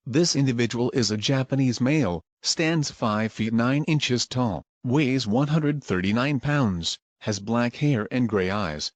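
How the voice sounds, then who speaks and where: A man voicing a grave, impersonal emergency alert announcer, reading a scripted warning in a formal, measured way. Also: medium pitch, no echo, lightly acted.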